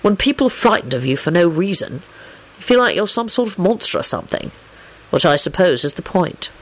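A woman's voice: fairly low pitch, sing-song, fairly quick, poor audio. A woman voicing an earnest, polite, weary man who is secretly a werewolf, speaking plainly in a story reading. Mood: exasperated.

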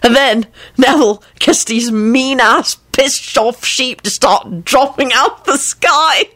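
A woman's voice: on the low side, very animated, normal speed, a bit bright, slightly rough, heavily acted. Woman as an insolent, carefree male prankster. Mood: gleeful. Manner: careless, flippant, snickering. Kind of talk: dramatic storytelling of fan fiction.

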